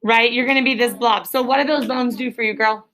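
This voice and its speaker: Bright-voiced woman teacher quizzing a class. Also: fairly high pitch, fairly even intonation, a little fast, slight background noise. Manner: prompting, often repeats questions and tags with "right?". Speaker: elementary school teacher. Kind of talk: classroom question-and-answer teaching. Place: classroom with pupils.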